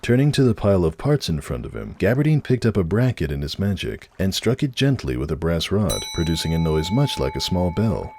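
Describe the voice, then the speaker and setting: Very deep-voiced male story narrator, expressive. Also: a little fast, hardly any pauses, a little hiss or hum. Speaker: narrator reading a fan fiction story aloud. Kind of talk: dramatic scripted narration.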